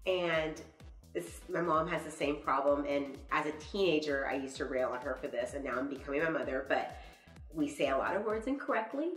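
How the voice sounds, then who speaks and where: Woman in her 30s, low pitch, chatty interview guest, heard from a distance in an echoey room. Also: animated, fairly quick. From Dallas, USA. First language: Spanish. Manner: chatty, laughs often, trails off mid-sentence. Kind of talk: casual interview chat. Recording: echoey, from far off, faint music.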